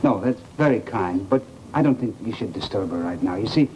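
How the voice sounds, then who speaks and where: A male actor as a courteous, secretive American man in a vintage radio chiller. His mellow voice is hesitant and evasive, with an obsessive streak. The recording is dull and slightly noisy. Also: fairly low pitch, animated, fairly quick, lightly acted. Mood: pleading, evasive. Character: polite, secretive, obsessive man newly married, Mister Holloway. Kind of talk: old-time radio horror drama acting.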